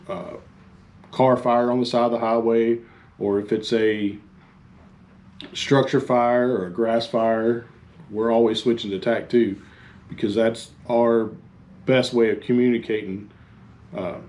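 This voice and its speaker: A man giving an informal instructional talk as a fire chief, halting and plain-spoken. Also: medium pitch, darkish tone. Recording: faint background noise.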